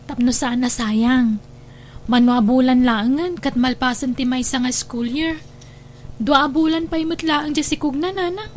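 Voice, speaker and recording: A woman's voice: high voice, unhurried, a little hiss or hum. A woman playing an earnest young sister who pleads for her sibling in a radio drama. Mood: pleading, reasoning.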